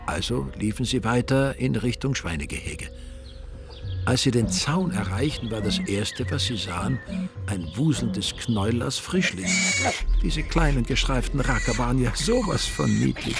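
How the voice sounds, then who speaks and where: An actor playing a wry, dryly comic storyteller with an Austrian accent, narrating a radio drama in a deadpan manner. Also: male voice, mid-range voice, normal speed, gravelly, loud music behind the voice.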